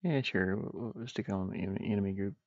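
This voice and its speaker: Man, lowish gravelly voice, unhurried casual muttering, think-aloud streamer, dry dull recording. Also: no echo. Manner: rambling, muttering to himself. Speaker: live streamer and indie game developer. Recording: dull, muffled, dry lo-fi microphone.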